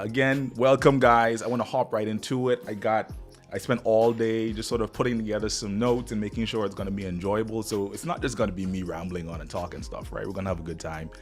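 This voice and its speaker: Bahamian-accented man, deep low voice, chatty live stream host, faint music behind. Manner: rambling, upbeat, talks straight to viewers. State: getting over the flu, slight cough. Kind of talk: casual live stream chat.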